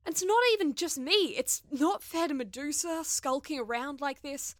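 A woman acting as a sardonic young space heroine in an audio drama. Her voice is fairly high and bright, recorded close and dry. She is expressive and easily exasperated, telling her own adventure with a wry edge. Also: measured pace, no echo. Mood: angry. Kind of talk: audio drama acting with first-person narration.

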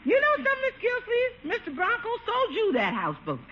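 A cheerful housekeeper in a 1950s radio comedy, high and very animated. She drives her points home with folksy, teasing insistence on a dull old broadcast. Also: fast talker, heavily acted. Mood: admiring, teasing. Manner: folksy, emphatic, repetitive. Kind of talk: 1950s radio sitcom acting. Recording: muffled, faintly noisy old radio recording.

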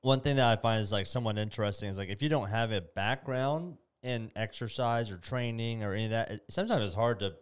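A man interviewing a gym member in a relaxed, friendly way, explaining things with frequent restarts. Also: on the low side, quick pace, hardly any pauses, smooth. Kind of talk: casual interview.

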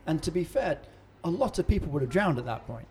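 High-voiced, encouraging male co-host. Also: very animated, fast, a little mellow, soft background music. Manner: chatty and encouraging, with lots of "to be fair" asides. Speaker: podcast co-host and wedding celebrant. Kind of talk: casual podcast chat.

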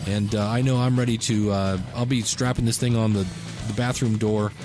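A man's voice: on the low side, steady tone, normal speed, music in the background. A man in his fifties hosting a casual solo podcast, talking in a rambling, chatty way and correcting himself as he goes. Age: 50s. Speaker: podcast host.

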